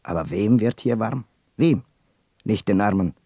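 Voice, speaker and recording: Rebellious war returnee, radio play, declamatory and brooding, husky, dark low voice. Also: male voice, a little slow, no room sound, clean studio sound. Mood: bitter, fervent. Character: fiery rebellious war returnee, bitter agitator against the rich. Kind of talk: German radio play acting.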